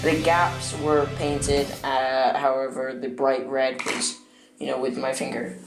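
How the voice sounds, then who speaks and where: Croaky, flat-voiced young man vlogging. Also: medium pitch, a little slow. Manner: rambling, hesitant, many fillers. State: slightly croaky voice. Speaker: stop-motion hobbyist vlogger. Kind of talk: casual craft vlog narration. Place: indoor room at a work table. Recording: echoey room with music playing behind.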